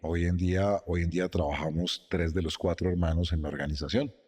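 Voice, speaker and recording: A middle-aged man, a company president, telling his story in a measured, reflective way during an interview in his office. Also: sits low, fairly even intonation, a little mellow, breathy edge, big-room sound. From Costa Rica, raised in Guatemala and Colombia.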